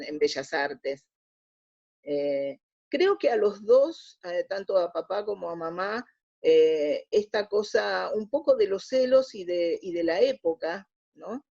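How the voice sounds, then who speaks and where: A woman's voice: on the low side, gravelly, mellow. Older Buenos Aires woman, dull lo-fi recording. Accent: Buenos Aires Spanish. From Argentina. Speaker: interview guest. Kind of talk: conversational reminiscing in an interview. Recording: dull lo-fi audio.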